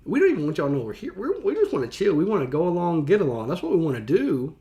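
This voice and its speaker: A man's voice: fairly high pitch, fast talker, dull audio. American man giving casual, slangy reaction commentary. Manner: colloquial slang, thinking aloud, animated paraphrasing. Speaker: teacher reacting to a historical reading.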